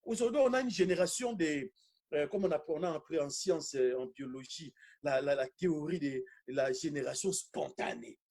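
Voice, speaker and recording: A man preaching an animated, charismatic teaching sermon, emphatic and repeating phrases for effect. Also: on the high side, moderate pace, creaky.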